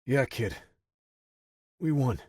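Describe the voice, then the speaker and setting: A man's voice: mid-range voice, lively intonation, slow, darkish tone, husky, no echo. A man voicing a stoic, tough male operative with dry humor in an audiobook, speaking tersely. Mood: wry.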